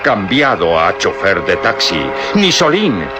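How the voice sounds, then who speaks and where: A man narrating and announcing a vintage radio drama serial in a theatrical, booming style. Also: very animated, slow pace. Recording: old radio recording with music bed.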